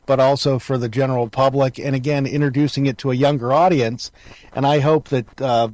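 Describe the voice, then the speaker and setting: Halting male interviewer, dry close sound. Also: mid-range voice, darkish tone, no echo. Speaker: interview show host. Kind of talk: casual conversational interview.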